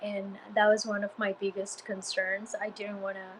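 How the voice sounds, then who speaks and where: A woman's voice: medium pitch, monotone, normal speed, slight background noise, poor audio. A woman from Guatemala with a mild Guatemalan Spanish accent, chatting casually with viewers on a live stream, hesitant with many fillers and restarts. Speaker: Spanish teacher livestreaming.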